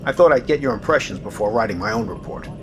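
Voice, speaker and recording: A male actor playing a composed, businesslike naval commanding officer with a measured, authoritative manner in an audio drama. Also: fairly low pitch, animated, close to an everyday voice. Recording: clear background noise, ambience.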